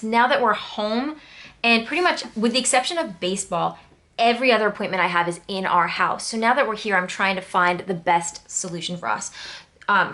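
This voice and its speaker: American woman, mid-pitched voice, casual vlog narration, chatty, rambling, conversational host. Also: pushed and bright. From Connecticut, New England, United States. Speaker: planner channel host. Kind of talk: casual chatty planning voice-over.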